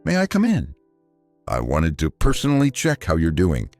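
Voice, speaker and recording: A man voicing a smooth, falsely friendly corporate woman, a polite villain in a narrated story. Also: sits low, huge pitch swings, moderate pace, darkish tone, no echo, faint music behind, heavily acted. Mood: falsely warm.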